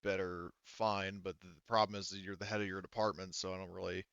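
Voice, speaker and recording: A man's voice: low-pitched, flat delivery, a little fast, creaky, a bit bright, smooth, no room sound, highs cut off. A man acting as game master in a casual online tabletop session, rambling and thinking aloud as he narrates. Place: online voice call.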